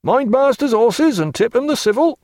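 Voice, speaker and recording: Squeaky scared coach boy, thick country talk, bouncy. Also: animated, normal speed, darkish tone, no room sound, clean studio sound. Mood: alarmed. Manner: broad rustic speech, urgent. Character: agitated country postboy, rustic dialect.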